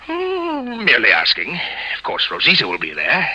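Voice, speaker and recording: A man's voice: mid-range voice, wildly swooping pitch, heavily acted. An actor playing a mocking, boastful, hard-drinking nobleman in an old radio drama, taunting and condescending. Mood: sly taunting. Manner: taunting, chuckling, condescending, drawn-out hesitations. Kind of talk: 1950s radio drama acting. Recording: muffled 1950s radio recording, loud music.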